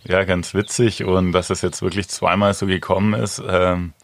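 A man in his thirties answering interview questions in a relaxed, hesitant way with many fillers. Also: low-pitched, moderate pace, dry recording. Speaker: handball player, guest.

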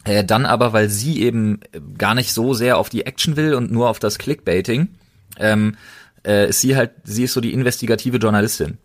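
A man with a fairly low voice talks casually as a podcast co-host. He sounds enthusiastic, leaning hard on emphatic, slangy words as he chats. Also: normal speed.

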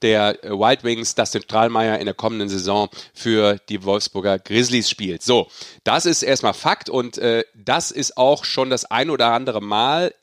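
A man's voice: on the low side, moderate pace, a bit bright, dry recording, clean studio sound. A man hosting a sports podcast in a casual, joking, self-ironic chat style. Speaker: podcast host.

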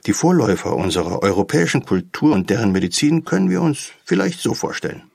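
An actor narrating a historical audio drama about an urgent ancient physician and voicing him, with a gravelly voice and storm effects behind. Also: male voice, lowish voice, very animated, fast talker, a little mellow. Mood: atmospheric, grave. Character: Hippocrates, urgent, commanding ancient Greek physician, and his narrator. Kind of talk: scripted dramatic storytelling narration.

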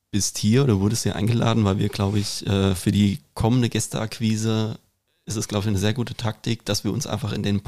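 A young man with a fairly low voice co-hosts a casual podcast. He speaks in a conversational way, often stammering and doubling back on words as he goes. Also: dark tone. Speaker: podcast co-host.

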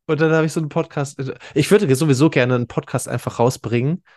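A man's voice: a little high, quick pace, a little mellow. A man hosting a podcast in casual chat, talking enthusiastically in colloquial, youthful slang. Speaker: podcast host.

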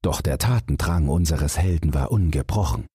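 A man with a low, very husky voice narrates a fairy tale for bedtime. His delivery is measured and dramatic, with lively swings in intonation. The sound is close and dry, like a polished audiobook. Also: dry recording.

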